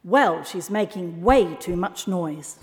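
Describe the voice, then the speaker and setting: A woman's voice: fairly high pitch, sing-song, slow pace, darkish tone, in a large hall. Woman voicing a grumpy, huffy storybook owl. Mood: grumpy, indignant. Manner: curt, huffy, grumbling. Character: grumpy male owl wanting solitude, indignant.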